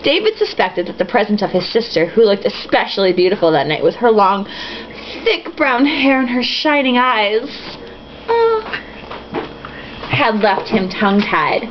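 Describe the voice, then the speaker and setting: A woman's voice: on the high side, big pitch swings, a little slow. A woman reading a novel aloud in a casual, comic way, with sarcastic, foul-mouthed asides. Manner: tipsy drinking-game reader. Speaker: host reading a novel aloud with mocking commentary. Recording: dull lo-fi with faint hiss.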